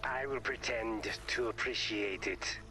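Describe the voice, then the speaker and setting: A man voicing a literal-minded, politely sardonic robot teammate in a video game, deadpan and formal, with music behind. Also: on the low side, measured pace, lightly acted.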